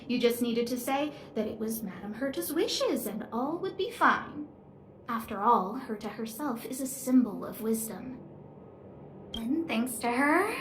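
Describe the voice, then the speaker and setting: A high, girlish young researcher for a game, wealthy and caring. She talks expressively and conversationally, with some echo and music behind her. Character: young female lead researcher, wealthy, cheerful, caring stargazer. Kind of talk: video game character dialogue.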